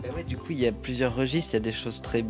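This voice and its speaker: A man's voice: mid-range voice, rapid-fire, music in the background. A man hosting a casual interview, asking long rambling questions and praising the album effusively. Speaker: interviewer and host.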